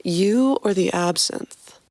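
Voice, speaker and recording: A woman voicing a morbid, aloof gothic young woman in a flat, dry deadpan for a fan fiction podcast reading. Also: on the low side, slow, no echo.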